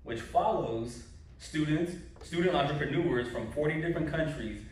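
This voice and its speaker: Man, award presenter, measured, reading prepared remarks, very echoey hall, distant. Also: a little high. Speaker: presenter introducing award recipients. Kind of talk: formal award introduction. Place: large indoor hall, to a seated audience.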